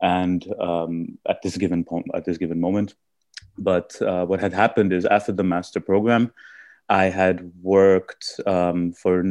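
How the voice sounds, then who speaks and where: A man from India, raised in Austria, answering podcast interview questions in a halting way with many fillers and restarts. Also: deep voice, warm tone, dry recording. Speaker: guest.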